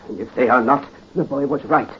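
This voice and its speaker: An actor playing a village priest who blesses a marriage and scorns superstition, in a 1930s radio drama. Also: male voice, mid-range voice, brisk, natural voice. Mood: stern. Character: country priest, stern and rational. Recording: muffled 1930s radio recording, faint hiss.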